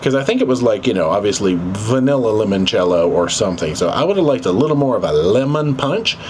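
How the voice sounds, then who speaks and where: A man's voice: lowish voice, animated, fairly quick, darkish tone, slight background noise. A man hosting a casual travel vlog in a folksy, playful way, talking straight to viewers. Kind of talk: casual food and travel chat.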